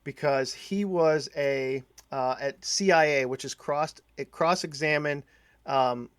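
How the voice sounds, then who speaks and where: A man with a slightly high voice hosts a relaxed interview podcast. He feels his way through sentences, often doubling back on phrases. The sound is close and dry. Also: clean tone, no echo. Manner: halting, searching for words, repeats phrases. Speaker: podcast host interviewing a guest. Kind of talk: casual conversational interview.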